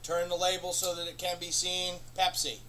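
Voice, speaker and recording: A man with a high, sharply bright voice hosts a comedy show. He rambles through an absurd monologue with a deadpan delivery and casual profanity. A faint hiss sits under the recording. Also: normal speed. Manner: rambling, profane, deadpan nonsense with sudden bursts of laughter.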